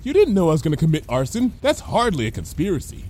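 A man plays a carefree, reckless female unicorn from a storybook. The voice is high and lilting, breezy and chirpy, as if nothing could worry this pony. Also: wildly swooping pitch, fast, warm tone. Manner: breezy, unworried, musing.

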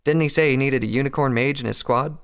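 A man's voice: medium pitch, fast talker, clear, resonant tone, voice pushed up, natural voice. A man voicing a plain-spoken, sober female country pony soldier with a blunt, folksy manner. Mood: curious.

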